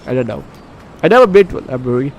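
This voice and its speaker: A man's voice: mid-range voice, big pitch swings, fast talker, a little mellow, slight background noise. A man hosting a casual let's play, reading game text aloud with commentary and often stumbling over and repeating phrases. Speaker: gaming video host.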